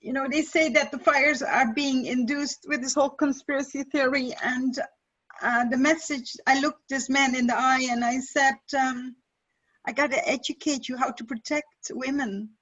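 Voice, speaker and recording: A high-pitched woman shares a reflection with a group, hesitating and halting between her thoughts. Her audio comes through a video call and sounds dull and lo-fi. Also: steady tone, fairly bright tone. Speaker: workshop participant. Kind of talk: sharing a reflection.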